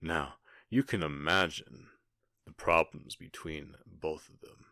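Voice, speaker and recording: A man playing a smug, cruel outlaw gang boss in an ASMR roleplay, taunting with theatrical pauses and mock-polite menace. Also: rumbling low, fairly even intonation, creaky, pushed and bright, dry recording, clean studio sound, full character voice. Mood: mocking storytelling.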